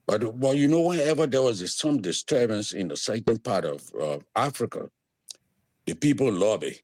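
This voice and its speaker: Man, lively swooping pitch, storytelling guest, dry close sound. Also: dry recording. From Liberia. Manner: animated storyteller with big swings in pitch, quick to laugh. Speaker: guest, immigrant services business owner. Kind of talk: conversational interview.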